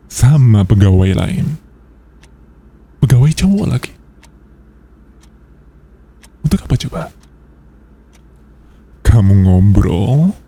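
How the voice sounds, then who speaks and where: A very dark, mellow male voice with an Indonesian accent, unhurried and husky. A man acts out a jealous, controlling boss in an intimate ASMR boyfriend roleplay. He sounds soft and menacingly sweet, teasing as he lays claim to his lover. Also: fairly low pitch, heavily acted. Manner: slow, teasing, controlling, with drawn-out pauses.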